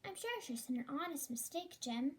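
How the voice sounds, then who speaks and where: Young wife for a school radio play, played by a child, very high-pitched, anxious and devoted. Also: expressive, moderate pace. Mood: concerned, fond. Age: child, about ten. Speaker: fourth grade student actor.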